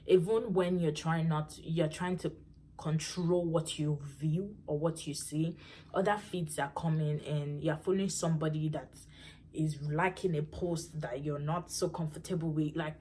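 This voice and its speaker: Young Yoruba-accented Nigerian woman, low voice, casual direct vlog chat. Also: a little mellow, a little hiss or hum. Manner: chatty and direct, often addresses viewers. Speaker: vlogger. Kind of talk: casual personal testimony to camera.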